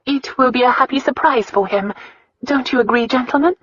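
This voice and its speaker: Woman voicing a poised, high-pitched young princess. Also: lively intonation, moderate pace, a bit bright, muffled recording, a little hiss or hum. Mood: controlled sweetness. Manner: controlled, polite, firm. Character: young princess, eighteen, poised, determined niece. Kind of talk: audiobook character acting.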